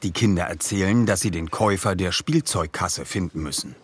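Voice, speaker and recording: A man's voice: deep voice, fairly quick, husky. A man narrating a children's detective audio play as a scripted storyteller.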